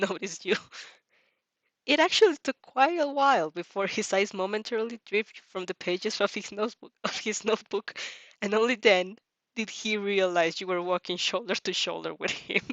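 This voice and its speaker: A woman reads fiction aloud with a casual, reactive delivery. Her pitch is average, and the sound is very dry with little room, on a slightly dull, lo-fi recording. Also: moderate pace, fairly bright tone, no echo. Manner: giggly asides, stumbling over words while reading. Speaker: reader reacting to fan fiction.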